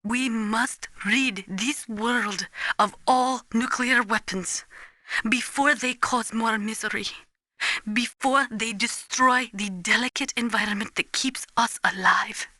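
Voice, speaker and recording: A woman playing an earnest, resolute Ukrainian weapons analyst in a video game, speaking gravely and deliberately as if lecturing. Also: a little high, pushed and bright, dry recording, heavily acted. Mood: somber, resolute. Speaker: military advisor briefing a soldier. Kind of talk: dramatic video game voice acting.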